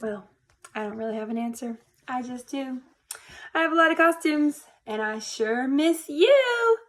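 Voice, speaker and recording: A woman reading a picture book aloud to young children in an animated, expressive way, speaking to them directly. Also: high voice, measured pace.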